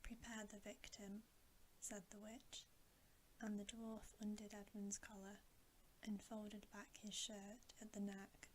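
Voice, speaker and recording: A woman reading a bedtime story in a soft, breathy ASMR whisper, gently and with pauses. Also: medium pitch, completely flat delivery, slight background noise, rough recording. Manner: slow, gentle, unhurried delivery with pauses.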